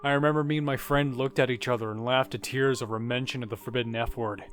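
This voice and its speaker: A man narrating a scripted first-person horror story, with dramatic pauses and phrases that trail off quietly. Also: mid-range voice, fairly even intonation. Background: music.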